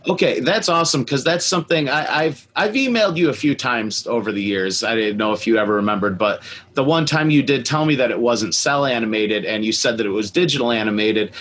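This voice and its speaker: A man's voice: medium pitch, a little fast, fairly bright tone. Male host for a fan interview: mid-range voice, informal and keen, slightly noisy recording. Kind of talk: casual interview chat.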